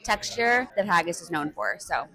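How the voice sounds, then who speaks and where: A woman's voice: fairly low pitch, animated, moderate pace, fairly bright tone, low-quality recording. A woman from Wales, chatting casually to camera as a travel vlogger and giving candid reviews. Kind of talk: casual vlog talk to camera. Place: restaurant, then outdoors in the street. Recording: crowd noise behind.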